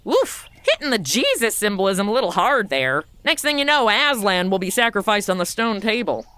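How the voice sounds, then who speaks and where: A woman's voice: medium pitch, very animated, moderate pace, bright, forceful tone, a little hiss or hum. A woman playing a snarky modern wisecracker in a comedy audio drama, speaking in casual, sarcastic asides. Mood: wry, chatty.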